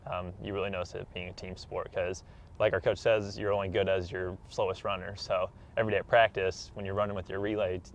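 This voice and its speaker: Low-voiced young American male athlete. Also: little pitch movement, fast talker. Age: young adult. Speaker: college track athlete being interviewed. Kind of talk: casual interview answers.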